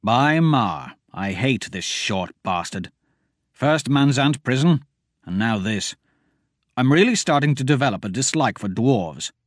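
A man's voice: mid-range voice, animated, a little slow, no echo, clean studio sound. A man voicing a cynical, disgusted male thief who grumbles tersely.

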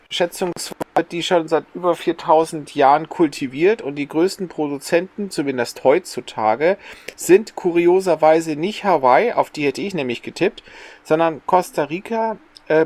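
A man's voice: fairly high pitch, unhurried, a little mellow. A man co-hosting a podcast in a casual, conversational style. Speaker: podcast co-host.

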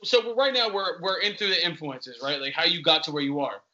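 Bright-voiced man. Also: on the high side, fairly quick, muffled recording. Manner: loose, profane, rambling. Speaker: podcast host. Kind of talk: casual interview chat.

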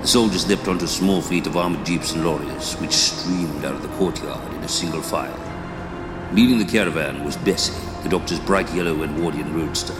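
A man's voice: rumbling low, fairly quick. A man reading scripted narration for an audio drama, as the storyteller between scenes. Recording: echoey long reverb, music behind.

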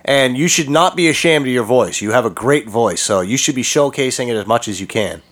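A man's voice: medium pitch, a little fast. A man co-hosting a casual chat, encouraging and sometimes fumbling for words. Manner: animated, encouraging, stumbles for words. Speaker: co-host.

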